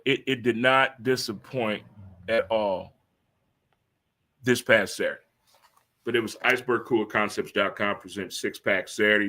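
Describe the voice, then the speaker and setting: Fifties guy doing a solo sports stream, bright punchy voice, rambly and playful. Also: medium pitch. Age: 50s. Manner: animated, rambling, teases himself with repeated "huh?"; talks to himself by name. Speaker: sports talk show host. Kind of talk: solo livestream sports talk. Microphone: streaming studio mic.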